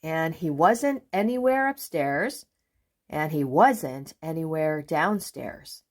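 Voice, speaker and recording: Expressive woman reading a storybook, very lively pitch. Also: slow pace. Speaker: children's book reader. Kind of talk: expressive storybook read-aloud.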